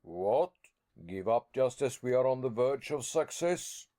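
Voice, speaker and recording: A man's voice: medium pitch, lively intonation, slow, mellow. A man voicing a stubborn older professor and explorer who refuses to turn back, tender at first and then harsh and commanding. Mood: fierce.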